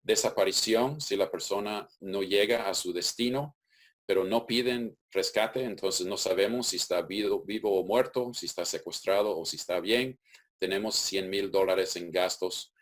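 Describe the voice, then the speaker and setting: Deep-voiced man explaining steadily. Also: moderate pace. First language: English. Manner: methodical, steady explaining with occasional restarts. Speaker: webinar presenter. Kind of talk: explanatory webinar presentation. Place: webinar.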